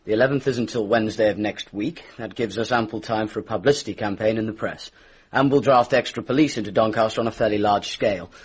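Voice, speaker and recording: A man playing a brisk, official police inspector in a radio drama, British accent, formal and businesslike. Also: lowish voice, breathy edge. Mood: brisk, confident. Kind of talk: radio mystery drama acting.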